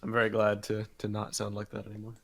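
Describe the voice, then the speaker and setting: A man's voice: fairly low pitch, monotone, fast talker. A man co-hosting a podcast in casual chat. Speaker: podcast co-host.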